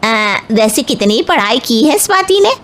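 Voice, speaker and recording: Female narrator as conservative storybook mother-in-law: demanding, deliberate, higher register. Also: big pitch swings, a bit bright. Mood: probing. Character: conservative, demanding middle-aged mother of the groom.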